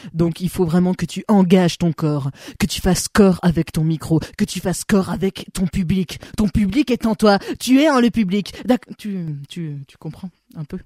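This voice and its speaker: A woman's voice: fairly low pitch, warm tone, no echo, studio quality, natural voice. A woman playing a chatty miming coach and interpreter in an amateur radio play, giving lofty advice with lots of fillers.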